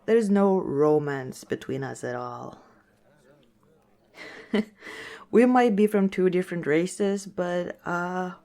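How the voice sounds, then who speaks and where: A woman playing a friendly, teasing orc tavern owner in a softly spoken ASMR roleplay, chatting gently and without hurry. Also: on the low side. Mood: chatty, fond.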